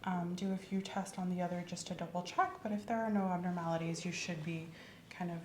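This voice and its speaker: Soft, mellow woman in ASMR exam roleplay. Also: lowish voice, fairly even intonation, brisk, roomy sound, slight background noise. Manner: gentle, reassuring, instructive. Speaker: ASMR performer playing a doctor doing a medical exam. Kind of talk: ASMR medical roleplay, soft-spoken with whispering.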